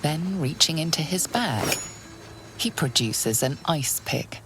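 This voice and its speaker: A woman playing a coolly composed, ominous narrator in a fantasy video game, telling the story in a measured way. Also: low-pitched, animated, a bit bright, soft background music, full character voice, poor audio. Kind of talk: scripted second-person game narration.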